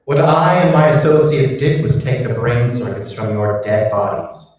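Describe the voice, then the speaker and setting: A man playing a cold, calculating scientist in an old-time radio drama, deliberate, matter-of-fact and gloating. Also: medium pitch, steady tone, darkish tone, close to an everyday voice. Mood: cold, explanatory. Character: cold, calculating male mad scientist, smugly explaining. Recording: echoey hall, distant mic, muffled.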